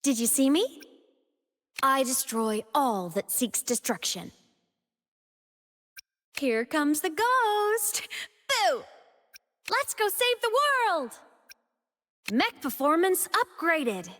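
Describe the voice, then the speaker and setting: A young woman playing a gentle, playful female digital ghost in a video game, with a tender, earnest and affectionate manner. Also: high-pitched, big pitch swings, slow, long pauses, in a large hall, full character voice. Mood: playful, spirited. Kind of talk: video game character voice lines.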